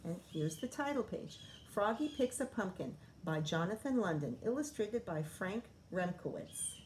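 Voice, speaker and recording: A woman's voice: mid-range voice, expressive, moderate pace, darkish tone, a little hiss or hum. A woman teacher reading a picture book aloud to young children, expressively, with chatty explanations and questions.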